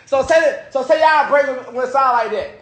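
A man in his twenties chatting excitedly on a phone livestream from a hotel room, with stammering repeats and bursts of shouting and laughter. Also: very high voice, brisk. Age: 20s. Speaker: livestream host. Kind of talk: casual bragging chat on a phone livestream. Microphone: phone held at arm's length.

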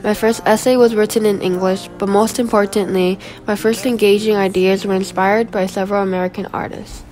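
A fifteen-year-old girl from Guam reading her autobiography aloud as a school presentation, in an earnest, steady voice. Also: medium pitch, fairly quick, poor audio. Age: teenager, 15. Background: background music.

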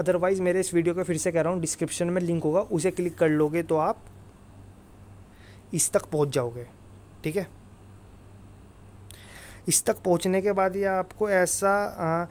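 A man's voice: fairly high pitch, darkish tone. A man giving an informal, emphatic explanation as an exam-prep presenter, often repeating tag phrases. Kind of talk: informal explainer over screen recording.

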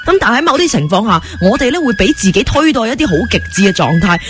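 A woman's voice: a little high, sing-song, quick pace, music in the background. A woman with a Hong Kong Cantonese accent presenting as a radio host. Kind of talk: radio chat and scripted presentation.

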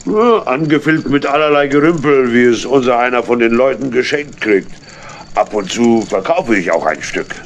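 An actor playing a sly, whining old tramp and thief in a children's audio drama, theatrical, stammering and evasive, with a gravelly voice. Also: male voice, medium pitch, animated, normal speed, natural voice. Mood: folksy, self-pitying. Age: older. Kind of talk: 1970s-80s German children's audio drama acting.

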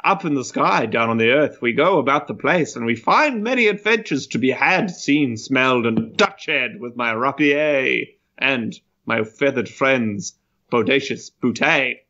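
A man's voice: mid-range voice, animated, measured pace, sharp tone, dull audio. A man voicing a pompous, chivalrous mouse adventurer in a grandiose, theatrical manner for a tabletop game. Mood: grandiose, proud. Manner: grandiose, theatrical, florid old-fashioned phrasing.

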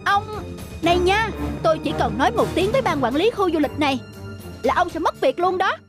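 An actress playing a proud young wife in a cartoon, speaking in an expressive, emphatic way. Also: female voice, extremely high pitch, a little fast. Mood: indignant, haughty. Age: young adult. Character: haughty young wife, quick to scold, later sheepish. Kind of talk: cartoon voice acting. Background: faint music.

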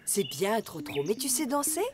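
A woman's voice: medium pitch, big pitch swings, moderate pace, noisy background, lightly acted. A woman voicing a tender, cheerful mother donkey in a French preschool cartoon, encouraging and sing-song. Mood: fond. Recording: loud cartoon music under the voice.

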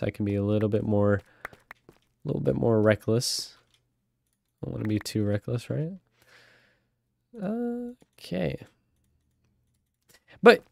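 A young man with a stuffy, nasal voice from a cold, rambling casually through gameplay commentary. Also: medium pitch. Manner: rambling, chatty, with sudden excited outbursts. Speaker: gaming channel host.

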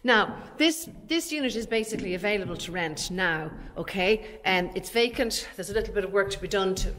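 A woman's voice: medium pitch, animated, brisk, fairly bright tone. An Irish woman in her forties, an estate agent chattily explaining a property as she walks through it. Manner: chatty, explanatory, talking while walking. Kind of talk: informal property walkthrough narration. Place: inside empty commercial unit, large bare rooms.

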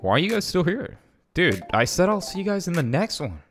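A man's voice: high-pitched, sing-song, fairly quick, fairly bright tone, faint music behind. A young man giving casual gameplay commentary in a slangy, jokey, rambling way. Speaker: gaming YouTuber.

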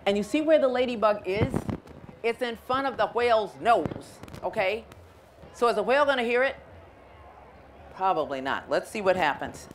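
A middle-aged American woman reading a picture book to children, conversational, explaining and checking with her listeners. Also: medium pitch. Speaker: storyteller. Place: outdoors in a garden. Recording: clear outdoor ambient noise.

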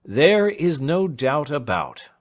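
A man's voice: medium pitch, big pitch swings, measured pace, pitched above the performer's own voice. A man voicing a shrewd, whimsical older lawyer with a dry, emphatic manner in a storybook reading. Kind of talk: dramatised reading of classic fiction.

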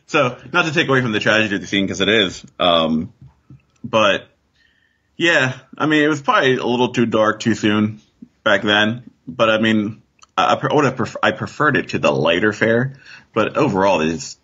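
A man's voice: on the low side, lively intonation, normal speed, pushed and bright, slightly rough. A man co-hosting a casual pop-culture discussion, recorded in dull lo-fi audio. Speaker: podcast co-host.

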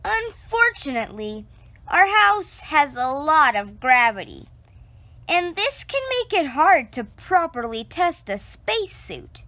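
A woman reading a children's picture book aloud, slow and expressive, close to the camera indoors. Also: no echo. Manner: slow, expressive storytelling with lively pitch.

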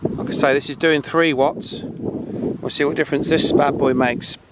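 Bloke in his 40s chatting in a field, slightly high voice, noisy outdoor camera audio. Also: steady tone, normal speed. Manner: chatty, informal. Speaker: presenter testing handheld radios. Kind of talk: casual hands-on review. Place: open grassy field outdoors. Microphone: camera held at arm's length. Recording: noisy outdoor recording with wind.

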